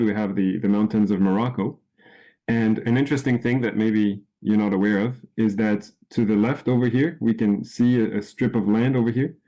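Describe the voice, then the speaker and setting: Male lecturer from France, measured and halting, fairly low voice; walks a group through slides. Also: warm tone, low-quality recording. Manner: unhurried, with frequent ums and restarts. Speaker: presenter. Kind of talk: informal presentation.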